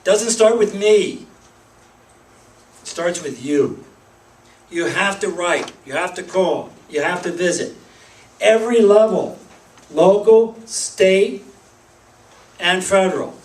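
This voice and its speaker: A man's voice: high voice, slow, long pauses, far from the mic. Man speaking in an echoey room. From Pennsylvania, United States. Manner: restarts and hesitant fillers, emphatic lists. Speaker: speaker at a group meeting. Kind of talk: informal public talk.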